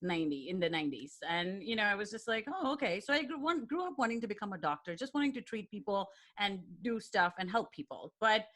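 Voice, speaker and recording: A woman's voice: mid-range voice, fast, few pauses. A woman in her thirties from India, a guest chatting animatedly in a podcast interview. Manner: chatty, animated, with restarts and laughs. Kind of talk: casual interview chat.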